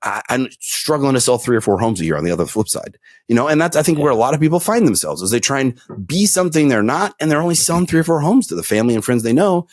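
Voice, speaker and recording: A man talking on a podcast, his pitch rising and falling a lot as he spins a long story. He tells it casually, with plenty of fillers and restarts. The sound is dry and close, with no room echo. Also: fast. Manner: storytelling, animated, many fillers.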